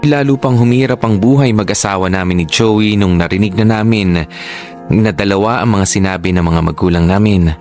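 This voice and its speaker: Low-voiced male drama narrator. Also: lightly acted. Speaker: narrator reading a letter-writer's story. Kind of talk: dramatic scripted first-person narration.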